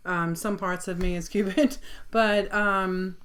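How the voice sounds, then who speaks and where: A woman's voice: mid-range voice, fairly even intonation, slow pace. A middle-aged woman with a New York accent, chatting casually as co-host of a live Q&A stream. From Long Island, New York. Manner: chatty, warm laughs, filler ums.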